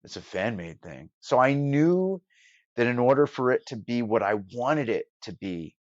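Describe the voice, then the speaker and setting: A man with a medium-pitched voice speaks casually as an interview guest. He explains things emphatically, stacking up points as he goes. The sound is very dry and close, with a slightly dulled top end. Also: very animated, moderate pace, no room sound, lo-fi sound. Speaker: radio broadcaster and audio drama producer, podcast guest.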